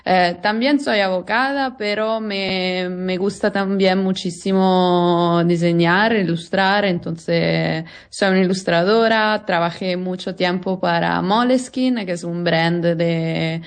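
A woman guest with an Italian accent speaks in a second language. Her pace is very slow and halting as she searches for words, and her voice is slightly low. She answers in a conversational interview style. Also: clear, resonant tone. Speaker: interview guest, lawyer and illustrator.

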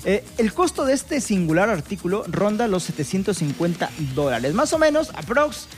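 A man's voice: sits high, very animated, normal speed, soft background music. A man with a Mexican accent co-hosting a radio sports show, playful and joking, often restarting his sentences. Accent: Mexican Spanish. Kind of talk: casual radio chat. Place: radio studio.

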